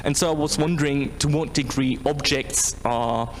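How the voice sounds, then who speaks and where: Halting man over noticeable background noise. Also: mid-range voice. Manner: tentative, halting, thinking aloud. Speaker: academic audience member asking a question. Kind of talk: unscripted academic question. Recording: clearly noisy, background room ambience.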